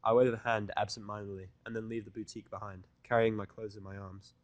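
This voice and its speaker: A man's voice: on the low side, level tone, fast talker, dry recording. A man reading a scripted first-person fan fiction narration in a blunt, sardonic manner.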